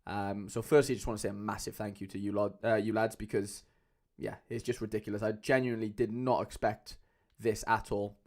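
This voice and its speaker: A man's voice: on the low side, flat delivery, fairly quick, hardly any pauses, a little mellow. Chatty young man with a Cardiff accent. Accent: Cardiff Welsh. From Wales. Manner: chatty, loose, many fillers, calls viewers lads. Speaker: livestream host. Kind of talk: casual livestream chat. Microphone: mic on a boom arm.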